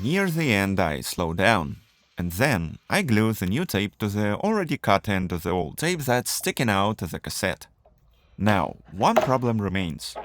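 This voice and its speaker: A man with a fairly low voice narrates a scripted how-to video. His intonation is very varied and animated. He explains things methodically, step by step, as the host of the tutorial.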